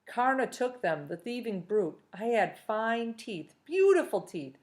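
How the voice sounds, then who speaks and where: Woman voicing a crone, old witch, storybook, high-pitched, loud, coaxing. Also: very animated, measured pace. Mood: eerie, coaxing. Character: grotesque old female shape-shifting witch.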